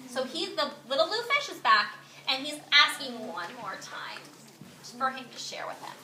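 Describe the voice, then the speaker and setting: High-pitched woman teacher in an echoey, noisy room. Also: normal speed. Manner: animated, simple child-directed phrasing with emphatic storytelling. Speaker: teacher reading a storybook aloud to young children. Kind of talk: classroom read-aloud with questions to pupils. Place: indoor classroom. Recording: echoey noisy room, distant microphone, clicks.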